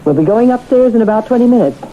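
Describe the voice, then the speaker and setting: An actress playing a brusque, bossy attendant in a radio drama, curt and commanding. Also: female voice, a little high, expressive, quick pace, close to an everyday voice. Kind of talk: 1970s radio drama acting. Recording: muffled, noisy old radio broadcast.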